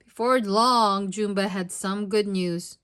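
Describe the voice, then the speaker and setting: Female storytime narrator, unhurried, expressive, speaking straight to young kids. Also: mid-range voice, clear, resonant tone. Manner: expressive, addressing young children. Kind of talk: children's read-aloud narration.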